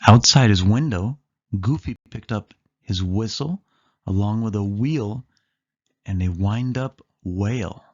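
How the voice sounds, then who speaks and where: A storyteller reading a children's book aloud in an animated way, lingering on listed words. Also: medium pitch, slow pace, breathy edge, highs cut off.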